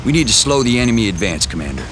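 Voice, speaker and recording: A man's voice: fairly low pitch, very animated, very fast, noisy background. An actor playing a stern male military commander who gives clipped, authoritative mission orders in a game cutscene. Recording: music bed under the briefing.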